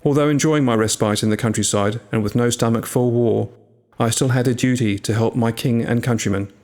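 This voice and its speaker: A man reading a scripted first-person tale in a measured, literary storytelling manner. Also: medium pitch, fairly even intonation, darkish tone. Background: music.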